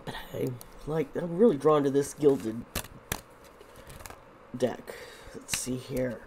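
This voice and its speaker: A woman's voice: extremely low pitch, takes it slowly, background noise. A woman with a congested, fading voice, doing a casual tarot reading to camera, rambling with frequent restarts and fillers. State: congested, losing her voice. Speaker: tarot reader.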